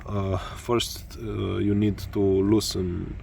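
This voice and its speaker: Slow hesitant man, Romanian accent. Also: lowish voice, level tone, gravelly, a little mellow, a little hiss or hum. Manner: halting, many fillers, searching for words. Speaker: motorcycle tutorial maker. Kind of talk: hesitant spoken tutorial.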